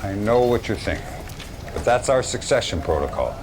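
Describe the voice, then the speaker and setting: An actor playing a gruff, commanding general in a video game cutscene, blunt and authoritative in clipped orders. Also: male voice, on the low side, expressive, moderate pace, natural voice, low-quality recording. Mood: measured, resolute. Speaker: military commander giving briefings.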